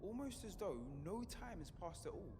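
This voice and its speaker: An actor playing a brooding, conscience-stricken rebel radio broadcaster in an audio drama, intense and reflective. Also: male voice, high voice, animated, moderate pace, in a large hall, background noise. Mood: reflective, subdued. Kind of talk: fiction podcast audio drama acting.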